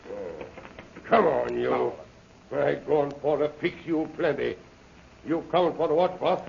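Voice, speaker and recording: Vengeful henchman, creaky and brutish, broken English, muffled vintage radio adventure. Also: fairly high pitch, expressive, measured pace, heavily acted. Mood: menacing. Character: swaggering vengeful male henchman, brutish, obedient to boss. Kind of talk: old-time radio adventure serial acting. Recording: muffled, noisy old radio recording.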